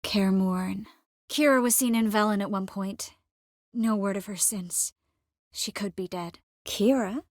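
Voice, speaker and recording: Woman voicing a young game sorceress, clever and warm, bit wry, mid pitch, super dry recording. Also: measured pace, no echo, natural voice. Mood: matter-of-fact. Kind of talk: video game character dialogue.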